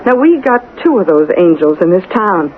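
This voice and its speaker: An actress playing a mayor's concerned, affectionate and reasonable wife in a vintage radio drama, gently questioning and coaxing. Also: female voice, on the low side, sing-song, moderate pace. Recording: noisy lo-fi old radio recording.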